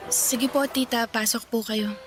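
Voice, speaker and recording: A dejected, guilt-ridden young woman, acted by a woman in a radio drama. Her voice is high, with a polite, subdued, confessional manner over music. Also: steady tone, unhurried, close to an everyday voice. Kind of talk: Filipino radio drama dialogue.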